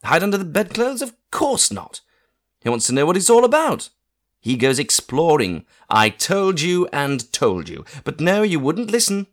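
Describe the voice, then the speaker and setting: A man's voice: fairly high pitch, wildly swooping pitch, pitched above the performer's own voice. A man reading an audiobook, voicing a bossy, proud middle-aged aunt with a scolding, emphatic delivery. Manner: scolding, emphatic, slow stressed words.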